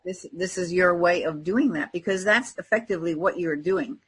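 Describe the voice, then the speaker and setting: A woman's voice: on the low side, normal speed, fairly bright tone, breathy edge. A woman talking in an encouraging, affirming way, as in spiritual readings and casual talk show chat. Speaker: spiritual guest co-host giving card readings.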